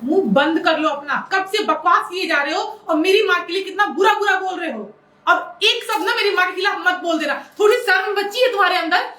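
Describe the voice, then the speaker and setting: A woman's voice: extremely high pitch, fairly quick, sharp tone, recorded from a distance. A woman playing an indignant, combative wife who fiercely defends her mother in a melodramatic family drama. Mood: angry, defiant. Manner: scolding, combative, argumentative. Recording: roomy echo with dramatic music underneath.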